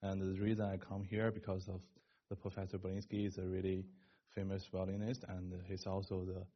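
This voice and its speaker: A young man from China, introducing himself as a music doctoral student, hesitant with filler pauses and restarts. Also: low-pitched, monotone. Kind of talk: brief self-introduction. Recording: muffled lo-fi recording.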